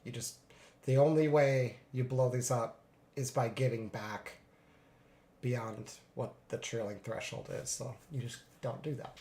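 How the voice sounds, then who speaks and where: A man getting over a cold, a little congested, narrating his live trades in a relaxed way with many fillers. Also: mid-range voice, a little slow, roomy sound, slight background noise. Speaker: futures day trader streaming live. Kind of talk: live trading commentary.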